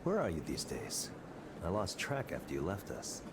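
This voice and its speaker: A man's voice: low voice, huge pitch swings, normal speed. A man playing a self-assured tech company chairman in a visual novel game, with noise in the recording.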